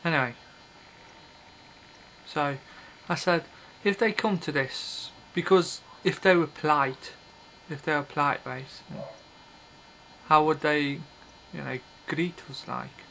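Slow, rambling man in his thirties. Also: on the high side, vocal fry, bright, forceful tone, a little hiss or hum, poor audio. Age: 30s. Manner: rambling, self-interrupting, blunt and offhand. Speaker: video blogger. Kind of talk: casual rambling monologue to camera. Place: small bedroom with red curtains, indoors. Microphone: built-in webcam mic.

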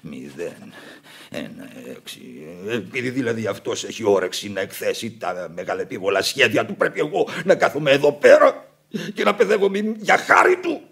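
An actor playing an exhausted, irritable male bank clerk in a theatrical Greek radio play, complaining in a husky, gravelly voice. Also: lowish voice, very animated, full character voice. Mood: exasperated. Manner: muttering complaints that rise to sharp outbursts.

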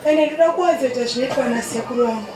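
A woman with a Zimbabwean accent rallying a funeral crowd, calling out in drawn-out cries. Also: sits high. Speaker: relative giving a tribute. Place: open-sided stadium stand outdoors. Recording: very noisy crowd, echoey, distant PA.

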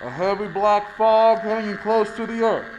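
Radio play bomber lieutenant, male actor: resolute, clipped delivery, high pitch, slight background noise. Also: normal speed, dull audio, natural voice. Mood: tense, businesslike. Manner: clipped military radio report. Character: resolute male army bomber pilot reporting from his cockpit. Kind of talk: 1930s radio play acting.

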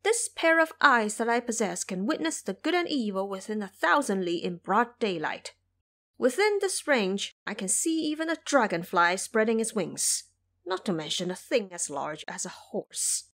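A woman's voice: medium pitch, big pitch swings, moderate pace, a bit bright. A woman voicing a boastful, hot-tempered male monkey disciple in an audio drama, brash and impatient.

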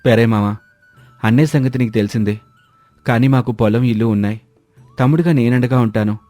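Man as an earnest young farmer, mellow voice. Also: medium pitch, a little fast, no room sound, soft background music, close to an everyday voice. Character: hardworking, dutiful younger farmer brother. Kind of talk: animated moral story dialogue.

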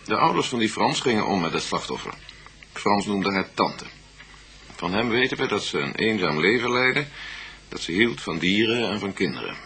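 A man playing a thoughtful, matter-of-fact police detective in a radio play, setting out facts deliberately. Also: lowish voice, sing-song, bright, forceful tone, exaggerated voice. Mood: methodical. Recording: noisy old radio play recording.

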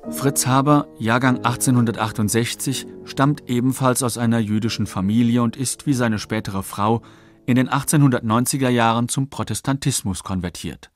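A man narrating a scripted documentary in a factual, measured way. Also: medium pitch, faint music behind. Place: dry studio.

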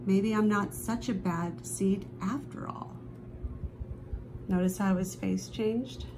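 A woman reading a children's picture book aloud expressively, with dramatic drawn-out emphasis on key phrases. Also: medium pitch, fairly even intonation, noisy background. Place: indoors at a table, book held before camera.

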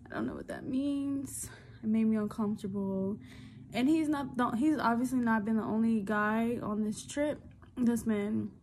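A woman's voice: on the high side, a little mellow, slight background noise. A young woman talking casually into her phone camera on a road trip, loose and candid with lots of fillers. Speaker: vlogger. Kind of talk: casual travel vlog. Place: hotel room, then car and outdoors.

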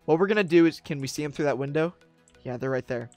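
A young man streaming a roleplay game, leading a team with urgent commands that swing from hushed whispers to shouts, recorded on a desk mic in a small room. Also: on the high side, quick pace, no echo, soft background music. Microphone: desk mic, webcam streamer.

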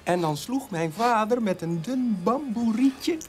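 The ironic grown child of a punishing father played by an actor recounts memories in a radio drama. The delivery halts at times and swings widely in pitch, over clearly audible music. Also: expressive, a little slow, lightly acted. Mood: hurt, ironic. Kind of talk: animated radio drama storytelling. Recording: music behind the voice.

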